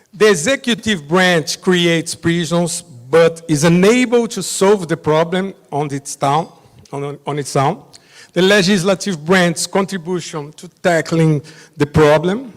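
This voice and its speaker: Slow-talking Brazilian man in an echoing hall. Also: sits high. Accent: Brazilian Portuguese. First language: Portuguese. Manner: halting, simple non-native English, short phrases. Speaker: judge giving a conference presentation. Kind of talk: slide presentation at a summit. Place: large hotel conference hall. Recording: long reverb of a big hall.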